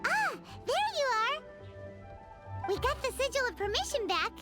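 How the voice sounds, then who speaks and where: A woman voicing a tiny, cheerful, chatty childlike fairy companion in a video game, exclaiming excitedly. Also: very high voice, moderate pace. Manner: exclaiming, refers to self in third person. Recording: loud music under the voice. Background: loud game music.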